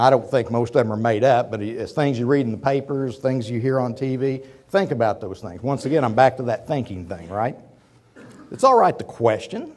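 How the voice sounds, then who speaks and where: A man's voice: mid-range voice, darkish tone. A man in his fifties from Oklahoma, losing his voice to a cold, giving a rambling, conversational lecture in a university auditorium with frequent asides to the audience. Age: 50s. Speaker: guest lecturer, businessman. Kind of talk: folksy public lecture.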